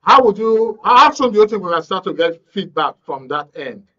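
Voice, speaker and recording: A man's voice: high-pitched, animated, normal speed, pushed and bright, lo-fi sound. A man with a Nigerian accent hosting live election coverage, courteous and often repeating his phrases. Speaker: programme host.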